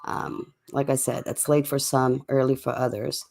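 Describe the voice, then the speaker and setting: A livestream host chatting casually and in a laid-back way to viewers late at night, with a voice going hoarse from a cough. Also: medium pitch, flat delivery, normal speed, darkish tone. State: sick with a cough, voice getting hoarse.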